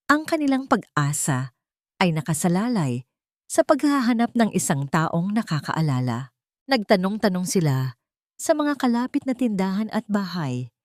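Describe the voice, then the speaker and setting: Low-voiced Filipino woman narrating, dry close sound. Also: a little mellow, no room sound. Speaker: storyteller. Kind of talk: dramatic scripted narration of a romance story.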